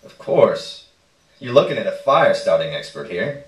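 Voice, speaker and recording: A man's voice: fairly low pitch, very animated, moderate pace. A man reading aloud on stage, voicing a cocky, sleazy boyfriend with a boastful, offhand manner. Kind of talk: character dialogue in a live story reading. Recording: echoey room, distant microphone.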